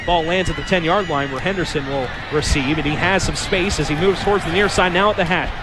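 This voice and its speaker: Casting: American male radio play-by-play announcer, high-pitched voice, calling live action. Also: quick pace, a crowd in the background, background noise. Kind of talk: live sports commentary.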